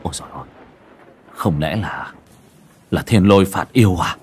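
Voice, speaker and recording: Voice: medium pitch, huge pitch swings, a little fast, gravelly, warm tone, a little hiss or hum, pitched above the performer's own voice. A narrator voicing an idle young village man who mutters, puzzled and easily startled.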